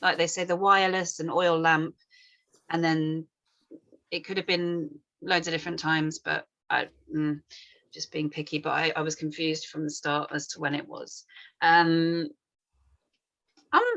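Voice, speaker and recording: Female panel reviewer, lowish pitch, tentative and meandering, offering apologetic informal notes. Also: flat delivery, measured pace, pauses often, fairly bright tone, highs cut off. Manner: hesitant, rambling, apologetic. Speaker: script panel reader giving feedback. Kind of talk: informal spoken critique.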